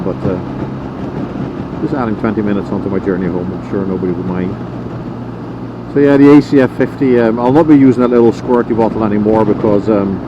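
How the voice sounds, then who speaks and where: A man with a Dutch accent chatting casually as he rides a motorcycle, rambling with lots of ums and uhs. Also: lowish voice. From Netherlands. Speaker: motorcycle vlogger. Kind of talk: casual vlog commentary while riding. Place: on a motorcycle riding outdoors. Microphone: helmet intercom headset mic. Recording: muffled lo-fi helmet mic, loud road and wind noise. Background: engine and road noise.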